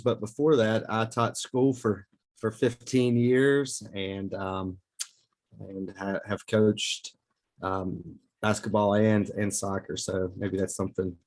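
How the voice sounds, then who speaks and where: A man in his forties with a fairly low voice speaks as a pastor on a chat podcast. He talks in an earnest, measured way. He often stops for fillers. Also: steady tone. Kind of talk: podcast guest introduction.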